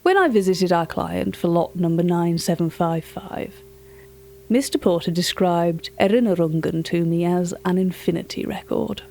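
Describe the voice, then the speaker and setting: A woman playing a polite, curious auction curator, narrating scripted audio fiction in a measured, literary way. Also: on the low side, vocal fry, warm tone. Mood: formal, measured. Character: curious, polite female auction catalogue curator telling eerie tales. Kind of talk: scripted first-person narration.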